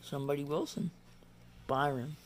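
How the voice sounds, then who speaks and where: A man casually chatting while opening trading card packs at home, reading player names haltingly. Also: fairly high pitch, little pitch movement, slight background noise. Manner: mumbling, reads names haltingly. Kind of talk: casual box-break chat. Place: indoors at home, carpeted floor.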